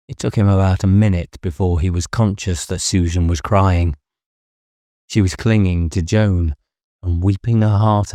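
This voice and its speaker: A man's voice: deep voice, dark tone, no echo, clean studio sound. A man reading a novel aloud as an audiobook narrator, in a scripted dramatic style.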